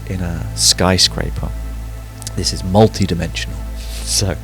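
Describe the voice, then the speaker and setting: A man leading a guided sleep meditation, giving soothing, unhurried instructions with frequent pauses. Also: low voice, fairly even intonation, fairly bright tone. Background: background music.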